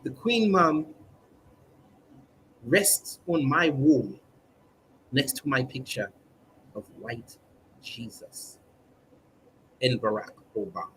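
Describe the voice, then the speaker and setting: A man's voice: medium pitch, a bit bright, poor audio. Guy hamming it up as a fake newsreader, stiff formal delivery, stretches words out, bit of hum in the background. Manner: formal, stilted newsreader diction with drawn-out words. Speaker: mock news presenter on a livestream. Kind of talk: comedic mock newscast.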